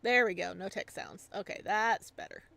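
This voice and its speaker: A woman's voice: mid-range voice, moderate pace, gravelly, pushed and bright, no room sound. A woman streamer with a chatty, giggly manner, talking casually to viewers while playing and reading aloud. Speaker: live streamer reading a visual novel aloud. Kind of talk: casual stream chat and playful read-aloud.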